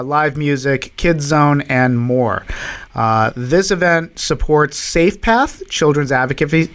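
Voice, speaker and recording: A man's voice: fairly high pitch, a bit bright. A man with a Massachusetts accent co-hosting a podcast, running through local event listings in an easygoing, folksy way. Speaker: podcast co-host. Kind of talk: casual chat reading out local event listings.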